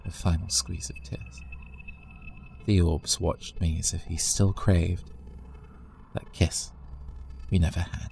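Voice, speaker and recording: A man's voice: low-pitched, level tone, takes it slowly, gravelly, long pauses, dark tone, slight background noise. A man narrating a scripted first-person horror story in a dramatic, tense storytelling manner.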